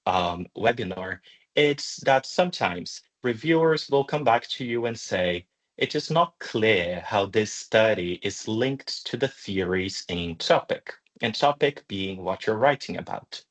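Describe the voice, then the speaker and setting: A man with a fairly low voice leads an online webinar. He explains things in a measured lecture style, pausing hesitantly as he goes. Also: lively intonation. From Brazil. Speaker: webinar presenter, journal deputy editor. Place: online video call.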